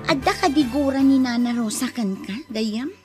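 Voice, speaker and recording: A woman's voice: high-pitched, moderate pace, over music, natural voice. A woman playing a chatty friend who works abroad in a radio drama, speaking in a teasing, talkative manner. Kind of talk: Ilocano radio drama acting.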